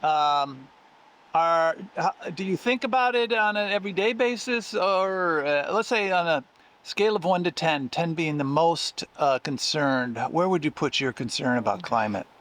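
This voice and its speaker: A man interviewing for an oral history project, asking his questions haltingly with many pauses and fillers. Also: on the high side, lively intonation, a bit bright.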